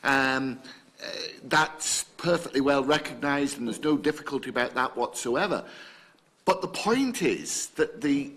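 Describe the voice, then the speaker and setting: English witness, middle-aged man, bright forceful voice, wry and hedging. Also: mid-range voice. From England. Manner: hedging, wry, with small laughs. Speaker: panel witness. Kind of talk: committee testimony.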